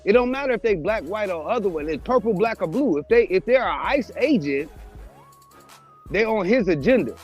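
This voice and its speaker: A man in his thirties talks casually, stumbling over his words and starting his sentences again before he finishes them. His voice sits somewhat high, the sound is a little dull, and music plays quietly behind him. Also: expressive, normal speed, husky. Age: 30s. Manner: stammering restarts, agreeable. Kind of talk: casual chat.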